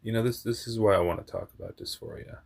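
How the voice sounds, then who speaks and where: A young man with a very deep voice telling a personal story in a rambling, candid way, often laughing at himself and swearing. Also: steady tone, fast, creaky, a little mellow. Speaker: vlogger.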